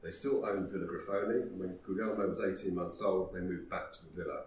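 A man giving a historical talk, telling the story in a measured way. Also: low voice, fairly even intonation, a little mellow, a little hiss or hum. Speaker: lecturer. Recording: roomy echo, distant mic.

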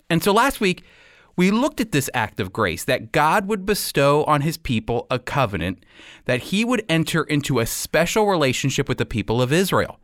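A man with an American accent hosting a radio program, teaching the Bible in an emphatic, deliberate way. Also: mid-range voice, a bit bright, no room sound, clean studio sound.

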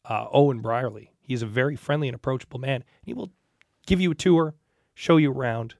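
Guy co-hosting a podcast, gravelly fry, dry close sound, just chatting. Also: mid-range voice, brisk, a little mellow, no echo. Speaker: podcast co-host. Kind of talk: casual chat.

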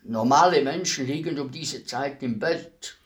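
A man playing a clumsy older burglar in a radio play, gruff and cursing, then formally apologetic. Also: medium pitch, unhurried, lightly acted. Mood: grumbling. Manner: formally polite between curses.

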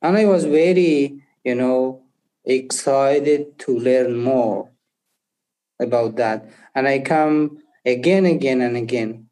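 A young man from Afghanistan, not an English speaker, telling his life story haltingly and searching for words. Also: mid-range voice, mellow, low-quality recording. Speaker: interview guest. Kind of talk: personal testimony.

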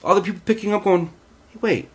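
A man chatting casually in a group discussion, haltingly, often repeating his phrases. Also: sits high, fairly even intonation. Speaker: voice actor on a round-table.

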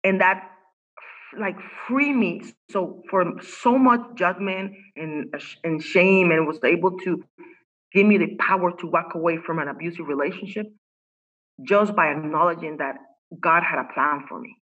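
A woman's voice: lowish voice, a little slow, fairly bright tone, breathy edge, lo-fi sound. A woman whose first language is Spanish, a coach speaking earnestly as a podcast guest, with many fillers and restarts. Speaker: guest, faith-based women's coach. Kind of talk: podcast interview. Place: home in Costa Rica.

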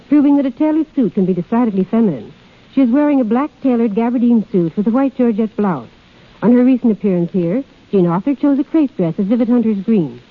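A woman's voice: fairly high pitch, narrow, tinny audio. A woman guest on a 1930s radio show, formally reading a scripted talk and product endorsement. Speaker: shop hostess, guest giving a product endorsement. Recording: band-limited 1930s radio recording.

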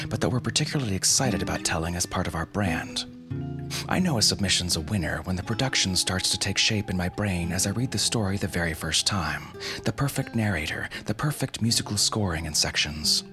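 Bright, forceful man hosting a podcast. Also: low voice. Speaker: podcast host and producer. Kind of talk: scripted explanatory podcast narration.

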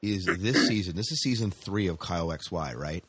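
A man co-hosting a podcast, talking casually about TV shows and often pausing to think aloud. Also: deep voice, lively intonation, fairly bright tone, slightly rough, dry recording. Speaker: podcast co-host leading the discussion. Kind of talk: casual TV discussion chat.

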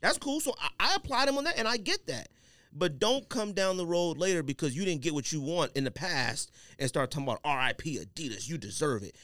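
A man hosting a podcast in casual banter, talking in an animated, slangy, emphatic way. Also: a little high, fairly quick, no room sound. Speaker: podcast host.

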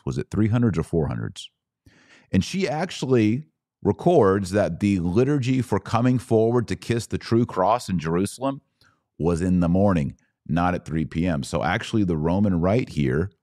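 A man's voice: low voice, darkish tone, no room sound, clean studio sound. A man hosting a religious podcast, explaining things in a conversational, didactic way with emphatic restatements. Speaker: podcast host.

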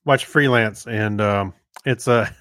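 A man co-hosting a podcast, giving casual opinions in a halting, meandering way. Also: fairly low pitch, fairly bright tone, no echo, clean studio sound. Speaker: podcast co-host. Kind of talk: casual chat, informal film review.

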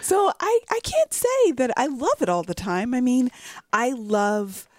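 A woman's voice: sits high, unhurried, no echo. A woman in her forties, a physician co-hosting a podcast, speaking candidly and expressively in casual conversation. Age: 40s. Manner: candid, expressive, laughs often.

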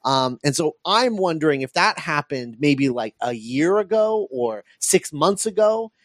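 A man's voice: a little high, expressive, a little slow, no room sound, studio quality. A man hosting a podcast, talking casually about film and thinking aloud with frequent hesitations and repeats. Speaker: podcast host.

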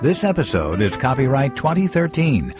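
A man's voice: mid-range voice, sing-song, normal speed. A man reading a scripted closing announcement as the show's announcer. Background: guitar music.

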